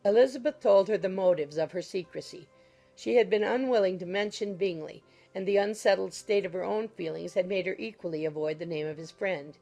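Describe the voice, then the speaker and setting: A woman's voice: medium pitch, a little fast, vocal fry, mellow, faint music behind. A woman narrating a classic novel as a scripted audiobook.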